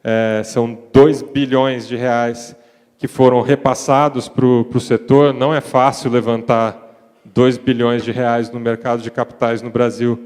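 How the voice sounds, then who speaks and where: A man with a Brazilian accent giving a conference presentation in a relaxed, conversational way, with frequent fillers. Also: medium pitch. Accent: Brazilian Portuguese. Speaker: conference panel speaker. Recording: reverberant conference hall.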